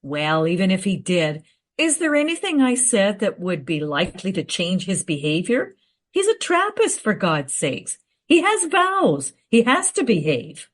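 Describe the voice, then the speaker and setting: Radio play researcher, female actor: knowledgeable, frank, brisk, very expressive pitch swings. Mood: defensive. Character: well-informed, matter-of-fact female history researcher.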